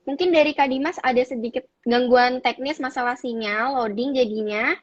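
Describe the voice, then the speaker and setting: A woman's voice: high voice, moderate pace, lo-fi sound. A woman hosting a live online talk, chatty and mixing formal greetings with casual phrasing. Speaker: host.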